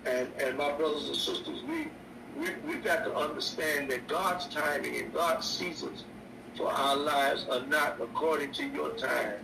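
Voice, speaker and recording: An older man, a bishop preaching a sermon on his radio show, slow and repetitive with stammered restarts. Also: on the high side, animated, creaky. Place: indoor room. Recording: echoey distant mic with noise.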